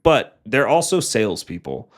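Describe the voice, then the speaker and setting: A man's voice: mid-range voice, sing-song, moderate pace. A man co-hosting a podcast, talking casually and colloquially about his work. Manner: colloquial, acts out imagined quotes. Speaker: podcast co-host and digital marketer.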